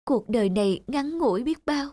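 A woman's voice: sits high, expressive, moderate pace, warm tone, no echo. An actress playing a despondent, seriously ill young woman in a cartoon drama, with a plaintive, melancholy manner. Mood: resigned, sad. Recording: music behind the voice.

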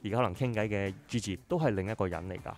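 Fast-talking man hosting radio. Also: fairly low pitch. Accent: Hong Kong Cantonese. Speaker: radio host. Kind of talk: casual radio interview. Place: small radio studio. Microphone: studio microphone, headset on, face mask worn.

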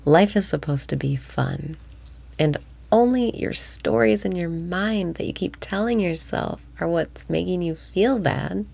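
A woman leading a guided breathing meditation in a slow, soothing voice that often drops to a whisper. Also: fairly low pitch, vocal fry, darkish tone, breathy edge.

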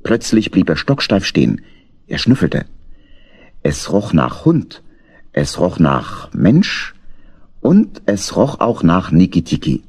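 Male narrator for children's audio story: low, husky, deliberate storytelling. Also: big pitch swings, darkish tone.

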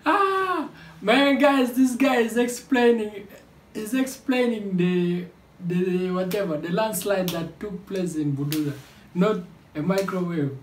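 A man's voice: high-pitched, unhurried. A young man giving casual reaction commentary, with amused interjections and repeated phrases. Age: young adult. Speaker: reaction video host. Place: indoor room. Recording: echoey room with background noise.

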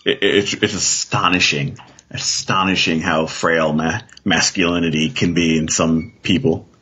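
Deep, husky American man, muffled sound. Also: fairly even intonation, moderate pace, pushed and bright. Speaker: podcast guest. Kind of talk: casual chat.

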